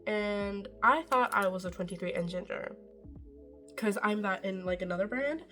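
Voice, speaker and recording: A woman's voice: medium pitch, normal speed, bright, forceful tone, faint music behind. Chatty young woman doing a makeup tutorial. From Norway. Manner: chatty, rambling, self-deprecating, swears casually. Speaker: beauty vlogger. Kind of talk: casual makeup tutorial chat.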